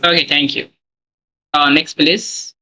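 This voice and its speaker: A man's voice: fairly high pitch, flat delivery, slow, sharp tone. A man leading a live online training session over a video call, speaking plain non-native English with many "okay"s and fillers. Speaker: online course instructor.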